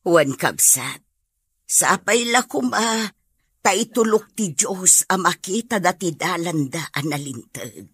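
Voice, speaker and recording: A gentle Ilocano village mother for a radio drama. She is devout and neighbourly, with a lowish husky voice. Her lines come slowly, recorded close and dry. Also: animated, dry recording, heavily acted. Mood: sympathetic.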